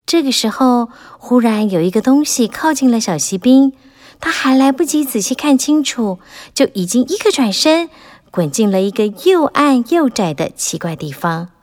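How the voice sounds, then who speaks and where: A woman narrating a children's fairy tale in an animated, expressive way, rising and falling with the drama. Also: fairly high pitch, moderate pace. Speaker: storyteller.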